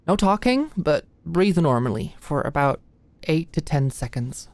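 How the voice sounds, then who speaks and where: A low, unhurried young woman's voice , performed by an actress, plays an anxious medical student in an ASMR roleplay. She is shy and flustered, hesitating and stammering as she goes. The sound is dry and intimate. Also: very animated, darkish tone, dry recording, heavily acted. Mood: nervous, focused. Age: young adult. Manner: stammering, hesitant, muttering asides under breath. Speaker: voice actor in audio roleplay.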